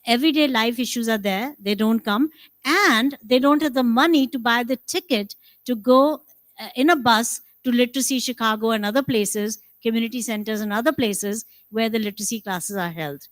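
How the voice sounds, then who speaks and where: A woman with an Indian accent moderating a conference roundtable, summing up briskly and mixing Hindi with English. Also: on the high side, no echo. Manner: brisk, rapid-fire, switches between Hindi and English.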